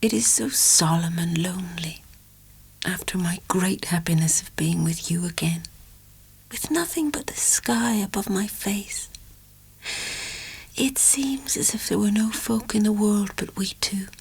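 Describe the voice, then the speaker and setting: A man voicing a tender, weary young country woman in a soft, breathy falsetto murmur, for a dramatic audiobook. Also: measured pace, exaggerated voice, rough recording.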